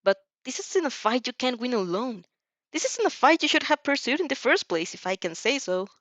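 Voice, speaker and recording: A woman's voice: high-pitched, sing-song, moderate pace, fairly bright tone, dry recording, pitched above the performer's own voice, exaggerated voice. A woman voicing a caring, determined young heroine student in an earnest, emotional audio story. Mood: firm, gentle.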